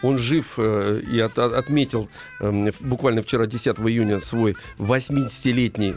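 A man hosting a live radio talk show in an informal, halting way, repeating himself. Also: medium pitch, steady tone, a little mellow, faint music behind. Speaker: radio host.

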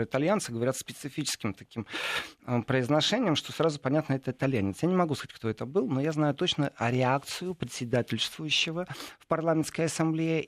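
A man's voice: medium pitch, no echo. Chatty man, dry close sound. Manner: digressive, many fillers and asides. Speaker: guest describing parliamentary assembly. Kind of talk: conversational interview answer.

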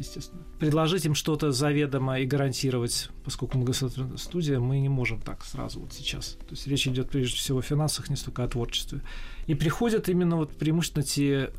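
A man with a mid-pitched voice speaks as a guest in a conversational interview. He is reflective and a little hesitant, building his answers out of short fragments. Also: a little mellow. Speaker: animation studio executive, interview guest.

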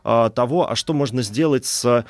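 A man in his thirties explaining as an expert guest in an interview, with a halting, hesitant delivery full of trailing asides. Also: mid-range voice, no echo. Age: 30s. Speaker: guest expert, animation historian.

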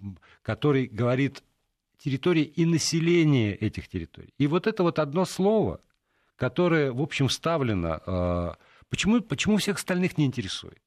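A man's voice: medium pitch, very animated, brisk, dry recording. A Russian man co-hosting a political studio discussion, talking emphatically with many hesitations and restarts. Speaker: political talk show co-host.

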